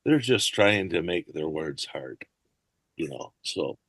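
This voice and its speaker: A man's voice: low voice, lively intonation, slow, fairly bright tone, husky, no echo. An older man chatting as a podcast guest, telling rambling stories and dropping into accent impressions now and then. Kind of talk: casual interview chat.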